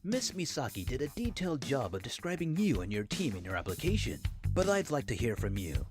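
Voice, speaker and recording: A man playing a formal, measured older corporate executive in a visual novel game. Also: medium pitch, huge pitch swings, music in the background. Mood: formal, welcoming.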